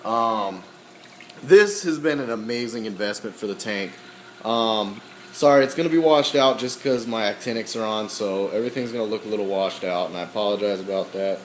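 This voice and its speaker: A man walking through his aquarium equipment in a casual, halting way, with many ums and frequent throat clearing, his voice slightly hoarse. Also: on the low side, reverberant, a little hiss or hum. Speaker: aquarium hobbyist.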